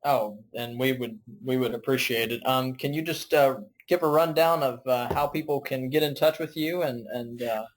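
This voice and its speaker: A man's voice: mid-range voice, moderate pace, few pauses, low-quality recording. A man hosting a podcast, talking casually with a guest and with listeners. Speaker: podcast host. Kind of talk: casual interview chat.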